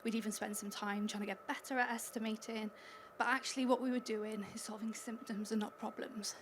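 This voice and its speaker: A woman's voice: fairly high pitch, flat delivery, a little fast, a little hiss or hum. A Welsh woman speaking at a conference, chatty and upbeat, laughing lightly between points. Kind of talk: conference talk. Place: conference hall stage.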